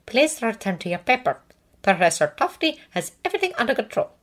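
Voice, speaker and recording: A woman voicing a stern old female examiner who speaks quietly and firmly. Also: on the high side, very animated, brisk, pitched above the performer's own voice.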